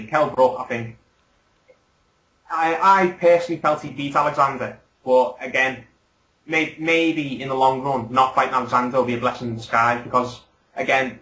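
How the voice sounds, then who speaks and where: A man's voice: mid-range voice, sharp tone, echoey room, rough recording. A man hosting a casual podcast chat, rambling through his opinions and often asking for agreement. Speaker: podcast host.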